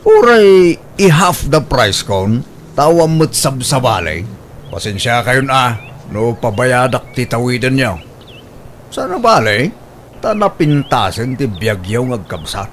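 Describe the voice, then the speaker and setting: A man's voice: mid-range voice, very animated, slow, a little hiss or hum. A man with an Ilocano accent playing a stubborn, grasping older father in a radio drama, haggling emphatically. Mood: wheedling.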